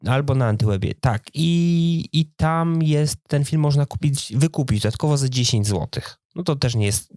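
A man's voice: on the high side, normal speed, darkish tone, no room sound, studio quality. A man in his thirties co-hosting a podcast, explaining things conversationally with small hesitations in casual chat. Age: 30s. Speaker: podcast co-host.

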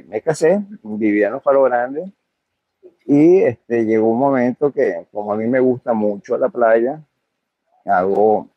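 A man's voice: fairly low pitch, breathy edge, no echo, highs cut off. An older man, a hotel owner answering interview questions in a hesitant way, full of fillers and restarts.

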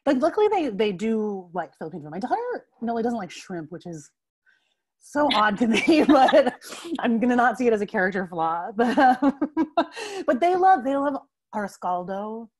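A woman's voice: fairly high pitch, sing-song, normal speed, darkish tone. Woman in her forties, guest on a home video call, earbud mic, talkative, laughs a lot, lots of fillers. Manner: chatty, laughs often, many fillers and restarts. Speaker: interview guest, writer. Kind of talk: casual video call conversation. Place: home room with bookshelves. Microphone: wired earbuds on a video call.

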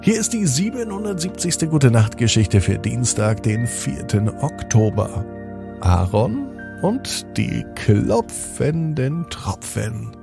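A man narrating a scripted bedtime story for children, with a gentle, deliberate storytelling manner. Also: mid-range voice, sing-song, creaky, over music.

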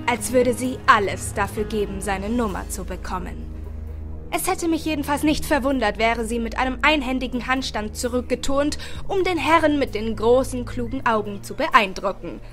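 A woman reads a first-person story in an expressive storytelling style. Her voice sits on the high side. Music plays softly behind her. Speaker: audiobook narrator. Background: background music.

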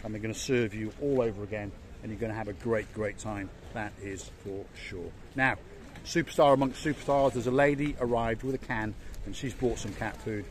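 A man's voice: lowish voice, brisk, a little hiss or hum. A man in his fifties presenting a walking travel vlog to camera, enthusiastic and chatty, filmed on a phone at arm's length outdoors at a seaside harbour. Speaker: vlogger. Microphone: phone held at arm's length.

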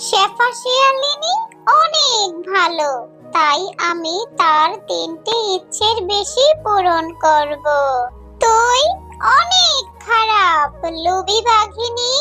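An extremely high, bright little magic jackfruit from a cartoon. It scolds righteously and sternly, taking its time over each phrase. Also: female voice, heavily acted. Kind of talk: children's cartoon voice acting. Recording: music behind the voice.

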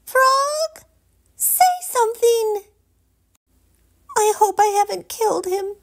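A woman voicing a bratty, haughty young princess girl in a storybook reading, shrill and indignant. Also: wildly swooping pitch, a little slow, a little mellow, exaggerated voice. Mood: frantic.

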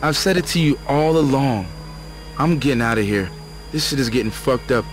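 A man's voice: medium pitch, lively intonation, moderate pace, a little hiss or hum, natural voice. A man playing a suspicious, foul-mouthed, jittery sidekick in a video game, hesitant and grumbling. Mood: agitated. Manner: hesitant, grumbling, casual swearing. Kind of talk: video game cutscene acting.

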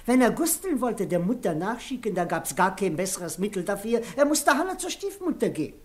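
A woman's voice: mid-range voice, big pitch swings, fairly quick, darkish tone, lightly acted. Woman playing outspoken, gossipy village woman. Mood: knowing, emphatic. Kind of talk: 1960s German radio play acting.

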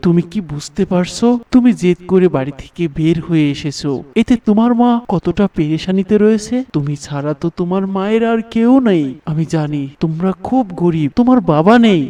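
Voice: mid-range voice, dark tone, distant mic, close to an everyday voice. An actor voicing a huge, mysterious angel in human form who speaks solemnly and preachily in a cartoon. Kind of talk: cartoon voice acting.

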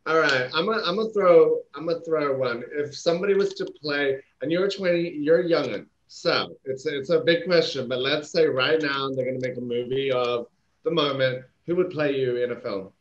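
A man's voice: on the high side, moderate pace, dull audio. A man in his late thirties co-hosting over a video call, campy and teasing. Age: 30s. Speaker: co-host. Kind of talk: casual interview on a video call.

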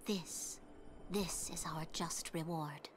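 A woman's voice: low voice, fairly even intonation, slow pace. A grave defeated lady captain in a video game, bit of noise behind. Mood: grim, subdued. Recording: game audio with faint background noise.

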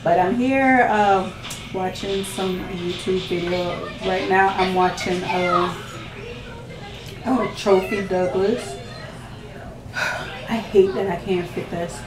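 A woman in her thirties with a faint Jamaican accent, talking casually to her camera in a slow, relaxed, chatty way. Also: mid-range voice, roomy sound, crowd noise, rough recording. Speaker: vlogger. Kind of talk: casual vlog chat. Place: living room indoors, then a parked car.

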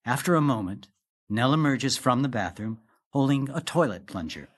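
A man's voice: medium pitch, animated, normal speed. A man giving scripted narration, reading the stage directions of a play.

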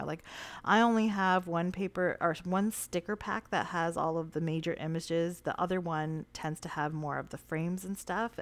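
A woman narrating her cardmaking tutorial in a relaxed, chatty way with small fillers. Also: lowish voice, no echo. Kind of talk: voice-over craft tutorial narration.

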